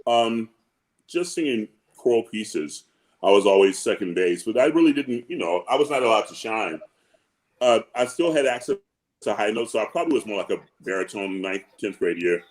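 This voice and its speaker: A man who sings low parts, chatting in an animated, joking way over a video call. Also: normal speed, poor audio. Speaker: opera singer, bass-baritone. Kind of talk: casual panel chat.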